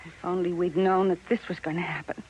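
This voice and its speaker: A woman's voice: fairly low pitch, flat delivery, fast, darkish tone, dull audio, close to an everyday voice. A woman playing an anxious, distrustful rancher's wife with an American accent, in 1950s radio drama style. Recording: noisy vintage radio recording.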